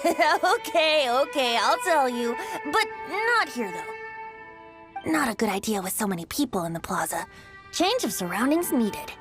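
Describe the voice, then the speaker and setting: A woman's voice: sits high, very animated, a little slow. A woman voicing a playful, wistful young boy bard in a video game, with a light, boyish, teasing delivery. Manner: teasing, lilting, theatrical. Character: playful, wistful youthful boy bard, secretly a wind spirit. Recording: music behind the voice. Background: game music.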